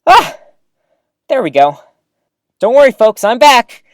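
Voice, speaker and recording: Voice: mid-range voice, takes it slowly, noisy background. An actor playing a rambling, easily flustered small-town radio host giving a live broadcast in an audio drama, awkward and chatty. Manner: awkward, animated, throat-clearing, chatty.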